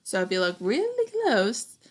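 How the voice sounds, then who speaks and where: A young adult woman reading a children's picture book aloud expressively, pausing to put questions and comments to young listeners. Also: medium pitch, huge pitch swings. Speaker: storybook reader, teacher.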